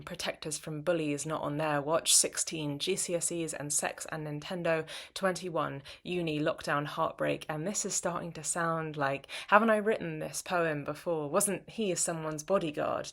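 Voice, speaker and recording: A woman's voice: sits low, gravelly, fairly bright tone. A young woman performing intimate, dramatic spoken-word poetry.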